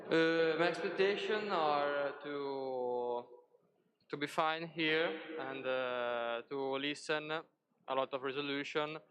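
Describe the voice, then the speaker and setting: A man answering interview questions hesitantly as a conference delegate, with many pauses and fillers. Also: fairly high pitch, steady tone, fairly bright tone, in a large hall, slight background noise.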